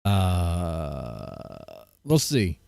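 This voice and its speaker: A man streaming live, tossing slow, goofy asides to his chat. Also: sits low, wildly swooping pitch, vocal fry, darkish tone, dry recording. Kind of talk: livestream commentary to chat.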